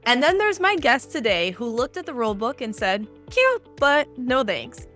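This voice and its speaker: Energetic female podcast host, emphatic, fairly high voice. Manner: upbeat, emphatic. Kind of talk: scripted podcast intro and interview.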